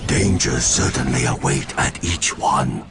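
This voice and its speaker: An actor playing a grave, wise alien leader in a video game, speaking formally and deliberately with quiet authority. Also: male voice, rumbling low, heavy background noise. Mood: grave, concerned. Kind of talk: video game dialogue acting.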